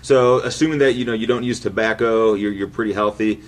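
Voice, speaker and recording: Low-pitched man in his thirties, slightly distant. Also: steady tone, a little fast. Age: 30s. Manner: informal, explanatory. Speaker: insurance agent guest. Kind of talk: casual explanatory interview talk.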